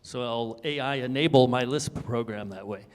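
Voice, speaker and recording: A man's voice: medium pitch, normal speed. Man explaining in a reverberant hall. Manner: explanatory, thinking aloud. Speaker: panelist. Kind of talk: panel discussion.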